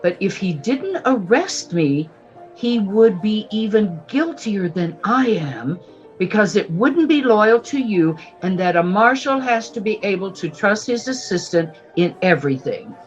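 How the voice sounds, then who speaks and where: A woman's voice: mid-range voice, big pitch swings, measured pace, dull audio, soft background music. An actress playing a naive, polite young shopgirl in her twenties, earnest and plaintive, in a radio western. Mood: meek, earnest. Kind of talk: old-time radio western acting.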